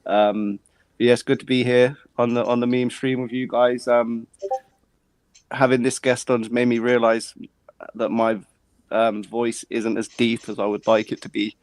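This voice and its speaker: Male co-host, mid-pitched, easygoing, dry close sound. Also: level tone, a little slow, a little mellow, no echo, rough recording. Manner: easygoing, self-mocking. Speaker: podcast co-host. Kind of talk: casual chat.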